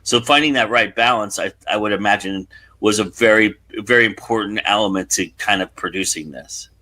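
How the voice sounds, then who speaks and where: A man with a bright, forceful voice pitched a little low hosts a conversational interview. He thinks out loud, building long, reflective points before handing over. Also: a little fast. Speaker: interview host and filmmaker.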